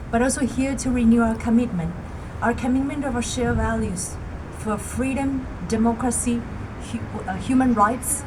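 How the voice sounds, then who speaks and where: A woman with a somewhat high voice delivers a prepared speech at an outdoor solidarity rally. Her delivery is measured and emphatic, building to rallying calls. The recording is noisy, with traffic clearly audible around her. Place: outdoor public gathering.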